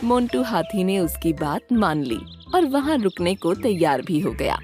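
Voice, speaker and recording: Animated woman narrating a children's story. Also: lowish voice, a little slow. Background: background music.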